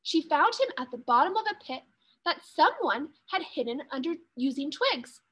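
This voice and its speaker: Woman, high-pitched voice, teacher reading aloud, expressive children's story narration. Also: normal speed, poor audio.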